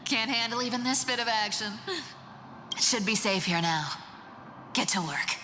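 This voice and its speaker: A woman's voice: a little high, normal speed, pushed and bright, a little hiss or hum. A woman playing a vain, bossy female rogue in a video game, haughty and playfully teasing. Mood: bossy, bored. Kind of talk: video game character acting.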